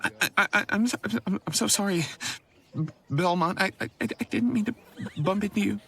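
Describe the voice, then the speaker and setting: A man playing a nervous, stammering young male student in a fantasy audio drama, timid and quick to apologise. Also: high-pitched, vocal fry, a bit bright, breathy edge, voice pushed up, heavily acted. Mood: panicked, apologetic.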